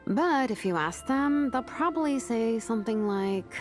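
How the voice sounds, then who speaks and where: A woman voicing a laid-back, wry young female vice captain who talks in a relaxed, teasing way. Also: on the high side, measured pace. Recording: game cutscene with background music.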